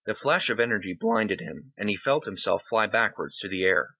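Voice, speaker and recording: A man's voice: fairly low pitch, big pitch swings, normal speed, vocal fry, sharp tone, husky. A man reading a short story aloud as scripted narration. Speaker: story reader.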